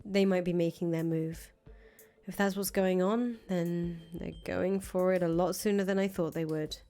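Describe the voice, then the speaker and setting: A woman's voice: on the low side, steady tone, moderate pace, warm tone, soft background music, lightly acted. A woman voicing a brash, foul-mouthed young female rebel leader in a visual novel, blunt, bossy and terse. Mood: grim, decisive. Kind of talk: acted visual novel dialogue.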